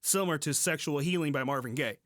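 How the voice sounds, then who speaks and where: A man's voice: fairly high pitch, fast, a bit bright, dry recording, studio quality. A man in a casual podcast conversation, thinking aloud informally as co-host.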